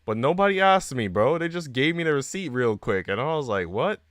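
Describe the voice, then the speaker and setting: A man's voice: medium pitch, sing-song, fairly quick, a bit bright, no room sound, clean studio sound. A man live streaming in a slangy, conversational way, chatting casually as he plays through a visual novel. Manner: slangy, conversational, often addressing listeners as bro and dude. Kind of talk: casual chat while reading a visual novel aloud. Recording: music behind the voice. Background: game music.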